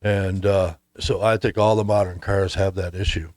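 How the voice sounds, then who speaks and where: A middle-aged man hosting a casual conversation, talking in a halting way as he thinks aloud. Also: deep voice, level tone, a little mellow, dry recording. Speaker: host.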